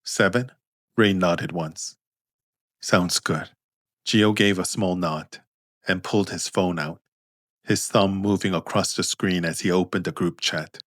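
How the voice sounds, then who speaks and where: A man narrating scripted fiction in measured, deliberate phrases. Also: low voice, steady tone, dry recording, studio quality. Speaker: narrator, author reading own story.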